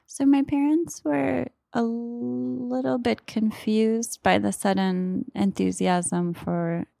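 An American woman in her thirties telling personal stories in a measured, thoughtful way, often pausing mid-phrase. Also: a little high, fairly even intonation, creaky, mellow, no room sound, studio quality, faint music behind. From Oklahoma, United States. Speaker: author and literary translator. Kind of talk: reflective personal storytelling.

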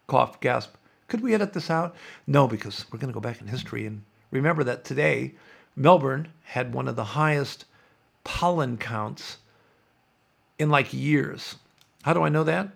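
A middle-aged man runs his podcast as a sarcastic, opinionated monologue. His voice is gravelly and fry-laden, and he sounds stuffed up and half-hoarse from congestion. His pitch sits at an ordinary middle height for a man. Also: lively intonation, unhurried, long pauses, a bit bright. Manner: sarcastic, mock-chanting opponents. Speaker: podcast host.

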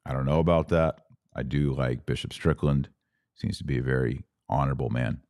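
Male podcast host, very low voice, dry close sound, earnest and conversational, turns indignant. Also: steady tone, fairly quick, darkish tone, no room sound. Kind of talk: livestream podcast reading viewer comments.

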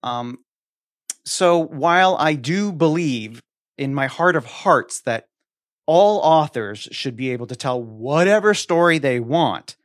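A man's voice: a little high, lively intonation, slow, no echo, studio quality. A man co-hosting a podcast, discussing a listener question in a conversational way. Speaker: podcast co-host.

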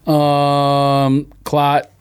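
A man's voice: a little high, monotone, drawn-out words, clean tone. A man co-hosting a podcast in casual, conversational talk. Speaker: podcast co-host. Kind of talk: casual chat.

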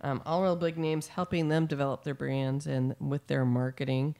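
Low-voiced woman hosting an interview. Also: flat delivery, moderate pace, gravelly, warm tone. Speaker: podcast host. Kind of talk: casual interview chat.

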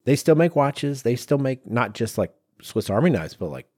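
A man's voice: mid-range voice, big pitch swings, moderate pace, darkish tone, no echo, clean studio sound. A man co-hosting a podcast, explaining things in a casual, chatty and conversational way. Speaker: podcast co-host. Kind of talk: casual conversational discussion of design objects.

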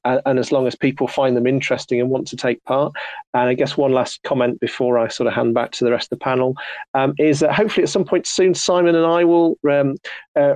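A man's voice: medium pitch, darkish tone, dry recording. A man hosting an online audio chat, soothing and polite, with many fillers and restarts. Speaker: host. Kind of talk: casual chat.

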